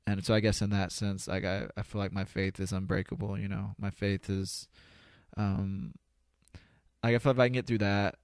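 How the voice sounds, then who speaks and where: Male podcast guest, low creaky voice, earnest and halting, casual and unpolished delivery. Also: flat delivery, no echo, studio quality. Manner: earnest, reflective, halting with repeated "you know". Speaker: interview guest, spoken-word poet. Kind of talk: casual, candid podcast conversation.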